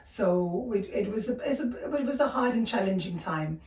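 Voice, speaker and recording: A South African woman in her fifties, a podcast guest telling her life story with many pauses, fillers and restarts. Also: medium pitch, lively intonation, a little mellow, reverberant, distant mic. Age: 50s. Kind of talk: personal storytelling interview.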